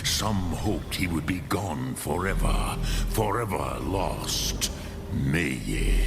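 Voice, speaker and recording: Age-old dragon sage, gravel-throated, drawn-out yet swooping delivery, solemn, patient, archaic, fantasy game. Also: male voice, full character voice. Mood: grave, reflective. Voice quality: very deep, gravelly, breathy growls. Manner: slow, solemn, deliberate, archaic phrasing with foreign words. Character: ancient, wise, patient male dragon hermit. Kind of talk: fantasy video game voice acting. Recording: loud music under the voice. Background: loud game music.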